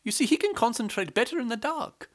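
A storyteller voicing the clever, humble wife of a poor Brahmin, speaking in a raised, soothing, flattering voice. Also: male voice, a little fast. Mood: persuasive. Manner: flattering, soothing, high-pitched.

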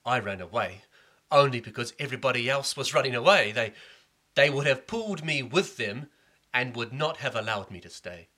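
A man voicing a jealous, petulant older prince who complains loudly about being overlooked. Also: mid-range voice, animated, normal speed. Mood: defensive. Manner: whining, resentful outbursts.

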